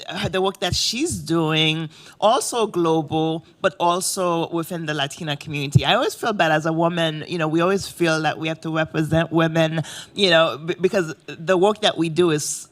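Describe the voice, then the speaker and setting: Guy moderating a panel, higher pitched, earnest, says you know a lot. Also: fairly bright tone. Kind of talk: introducing speakers at a panel.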